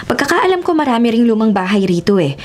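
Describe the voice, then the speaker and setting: An actress playing a sassy, easily spooked young woman travel vlogger with a Manila Filipino accent in an expressive radio drama style. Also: fairly high pitch, fast. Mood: uneasy, earnest. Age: young adult. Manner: expressive, sarcastic, mixes in English slang. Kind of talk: Tagalog radio drama acting with inner narration. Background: music.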